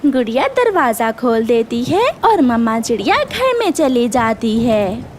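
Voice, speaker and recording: A woman's voice: a little high, sing-song, measured pace, a little mellow, slight background noise. A woman reading scripted narration for a children's cartoon story.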